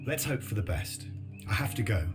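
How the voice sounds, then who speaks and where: An actor playing a weary, guilt-ridden office worker in a video game, subdued and plain-spoken. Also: male voice, on the low side, big pitch swings, normal speed. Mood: troubled. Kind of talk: video game cutscene acting and narration. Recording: loud music behind the voice.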